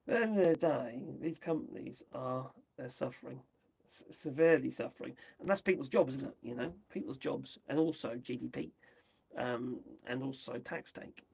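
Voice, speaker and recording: A man from the UK, chatting his way through the news on a livestream, rambling and tossing in tag questions, his voice going hoarse. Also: medium pitch, normal speed, a little mellow, poor audio. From United Kingdom. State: losing his voice. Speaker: livestream host. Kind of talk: casual commentary on news.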